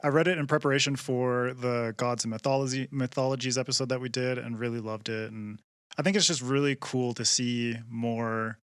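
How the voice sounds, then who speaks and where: A man's voice: medium pitch, steady tone, fairly quick, clean tone, no echo. A male podcast co-host with a mid-range voice runs through plugs and questions a guest. He is friendly and keen, with a few restarts, and his voice sounds close and dry. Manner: friendly, enthusiastic, some stammering restarts. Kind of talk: podcast host reading intro, then interviewing. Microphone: video call.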